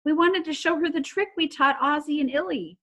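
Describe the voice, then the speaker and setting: A woman voicing eager, quirky young twins from a children's storybook, in a bright, enthusiastic, theatrical way. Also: high-pitched, brisk, pitched above the performer's own voice. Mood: perky.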